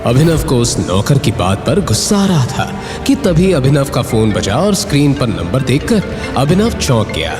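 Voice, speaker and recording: A man's voice: medium pitch, big pitch swings, moderate pace. A man giving dramatic, scripted narration of an audio story. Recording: reverberant echo with music bed. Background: music.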